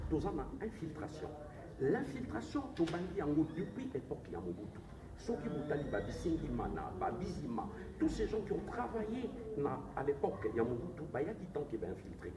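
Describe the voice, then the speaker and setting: A man with a Congolese accent argues insistently in a political interview, switching between languages as he makes his case. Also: on the high side. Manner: insistent, argumentative, code-switching. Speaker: interviewed politician, presidential candidate. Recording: distant, echoey, noisy room recording.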